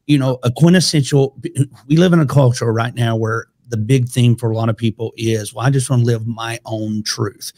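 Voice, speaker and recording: A man's voice: mid-range voice, steady tone, moderate pace, a little mellow. Chatty man hosting a podcast. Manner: easygoing, chatty, laughs often. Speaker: podcast host. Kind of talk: casual conversational interview. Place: home studio room. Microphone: podcast microphone on a boom arm.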